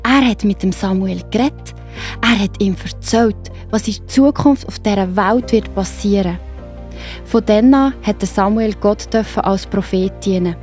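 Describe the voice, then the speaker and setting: A woman with a Swiss accent narrating a story for children in a simple, expressive way. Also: medium pitch, a little slow, faint music behind.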